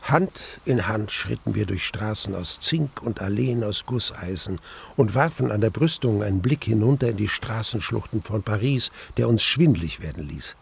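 An actor playing a wistful man recounting his past love as narrator of a German radio play, in measured, literary standard German. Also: lowish voice, lively intonation, gravelly, slight background noise. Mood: wistful, tense.

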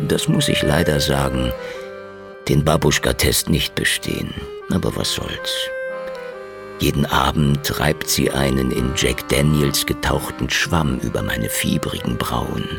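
An actor playing a battered, stubborn Soviet private detective, narrating a noir radio drama in a gravelly, brooding, sardonic voice. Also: male voice, rumbling low, exaggerated voice. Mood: wry, weary. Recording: loud music behind the voice. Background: music.

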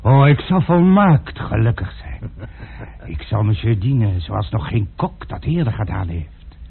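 An actor playing an unflappable, food-loving manservant with a fussy, deferential way of talking, in old Dutch radio drama style. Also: male voice, lowish voice, a little slow, gravelly. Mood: deferential, eager. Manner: fussy, expressive, obsequious to his master. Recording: tinny, noisy old radio play recording.

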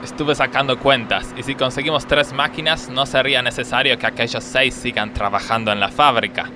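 An actor playing an earnest, cautious factory worker with an Argentine accent in a radio comedy sketch. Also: male voice, medium pitch, steady tone, brisk, background noise, natural voice. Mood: cautious, conspiratorial.

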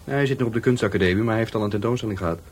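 An actor with a Netherlands Dutch accent playing a touchy, defiant law-school dropout in a radio play, by turns hesitant and scornful. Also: male voice, lowish voice, rapid-fire, husky. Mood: hesitant, explaining. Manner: hesitant, then scornful and argumentative. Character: defiant, touchy young male law-school dropout, murder victim's helper.

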